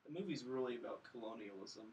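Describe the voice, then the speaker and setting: A man's voice: mid-range voice, expressive, quick pace, a little mellow, recorded from a distance, highs cut off. A man in casual film-podcast chat, talking in a wry, dryly joking way. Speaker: podcast panelist reviewing films.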